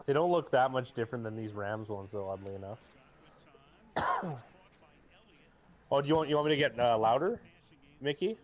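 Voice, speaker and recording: Booming talkative young man. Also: expressive, moderate pace, pauses often. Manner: rambling, talkative, full of restarts and fillers. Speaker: co-host of a live sports watch stream. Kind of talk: casual chat and game commentary. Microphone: jacket used as mic mount.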